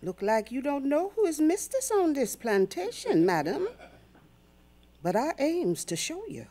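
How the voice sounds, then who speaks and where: An actress playing a cruel, haughty plantation mistress with a Southern US accent, speaking in an imperious, threatening way in a radio drama. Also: female voice, sits high, big pitch swings, unhurried, mellow. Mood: icy, menacing.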